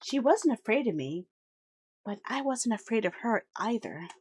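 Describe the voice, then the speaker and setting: A woman voicing a young female captive who narrates in a low, subdued, introspective tone. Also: sing-song, normal speed, slightly rough.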